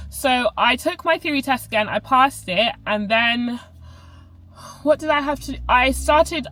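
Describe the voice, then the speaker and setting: Chatty young Barbadian woman, slightly high voice. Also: pushed and bright, no room sound, poor audio. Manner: chatty, self-correcting, lots of "like" fillers. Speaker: vlogger. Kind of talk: casual chat to camera.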